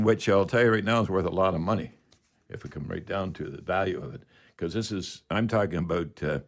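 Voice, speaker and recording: A man hosting a casual live radio show, talking in a folksy, rambling way. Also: sits low.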